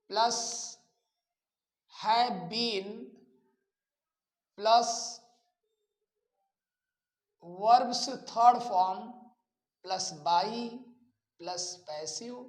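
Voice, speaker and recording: A man teaching a grammar lesson, explaining step by step in a deliberate, repetitive way. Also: extremely high pitch. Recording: echoey room.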